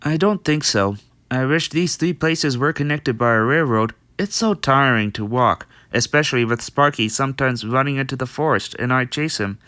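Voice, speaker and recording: A man acts out a friendly young stallion from a fan-made cartoon dub. He is chatty and practical, explaining things earnestly in a clean, smooth voice. The sound is dry and close. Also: mid-range voice, sharp tone, no room sound, lightly acted. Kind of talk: amateur comic dub voice acting.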